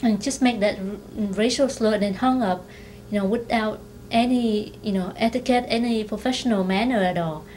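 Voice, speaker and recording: A woman giving an informal interview account, halting as she searches for words. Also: medium pitch, darkish tone, low-quality recording. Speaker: documentary interviewee. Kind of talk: informal recounting of an incident. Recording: faint noise, amateur video interview.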